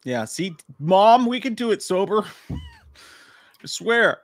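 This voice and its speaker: Thirties male host, crude and jokey, a bit high-pitched, dry close sound. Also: big pitch swings, slow, no echo. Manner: joking, crude, laughs often. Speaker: host reading viewer messages. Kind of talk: casual livestream chat. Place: home room with shelves. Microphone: desk mic.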